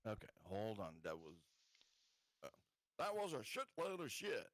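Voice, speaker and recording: A man's voice: lowish voice, wildly swooping pitch, measured pace, a bit bright. A man chatting casually as a player in a tabletop game. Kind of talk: casual gaming chat.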